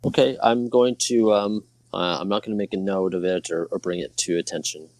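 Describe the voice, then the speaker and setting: A man with a low, creaky voice plays a tabletop game. He describes his moves casually and a little hesitantly, recorded dry and close. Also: brisk, a little mellow, no room sound. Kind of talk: casual first-person roleplay chat.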